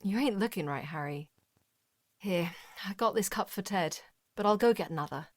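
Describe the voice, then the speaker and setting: An actress playing a concerned, plainspoken front desk assistant at a police station, talking in a familiar, colloquial way in an audio drama. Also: female voice, mid-range voice, lively intonation, normal speed, dry recording, close to an everyday voice. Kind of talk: audio drama dialogue acting.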